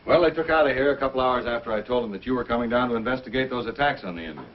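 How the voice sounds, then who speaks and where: An actor playing a worried, talkative logging boss who explains emphatically in an old-time radio adventure. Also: male voice, mid-range voice, very fast, natural voice. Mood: concerned. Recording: dull old radio, faint noise.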